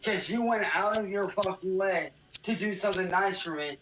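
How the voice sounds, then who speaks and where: A man's voice: sits high, normal speed, a bit bright, slight background noise. A man venting angrily and swearing in a casual video. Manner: angry, profane venting. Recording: echoey room.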